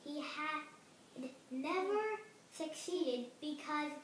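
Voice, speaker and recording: A child reading a storybook aloud haltingly, stumbling over words and correcting them. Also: sits high, fairly bright tone. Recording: roomy, distant home recording, faint noise.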